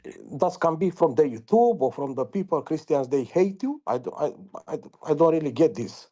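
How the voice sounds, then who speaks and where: A man from Romania calling in with a question, speaking halting English full of ums and restarts. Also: on the high side, expressive, warm tone. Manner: hesitant, many ums, halting non-native English. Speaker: caller. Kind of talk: call-in question.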